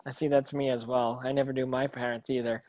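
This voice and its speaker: A man's voice: medium pitch, flat delivery, fast, darkish tone, natural voice. A man playing a meek, lonely, polite boy in an amateur screenplay table read. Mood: gentle, curious.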